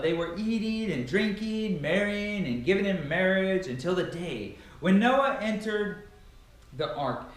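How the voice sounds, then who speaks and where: Young male Bible reader, slightly high-pitched, dramatic and emphatic delivery, heavily echoing bare room, distant mic. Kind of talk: dramatic scripture reading. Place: bare carpeted living room indoors. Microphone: far camera. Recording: very echoey empty room, distant microphone.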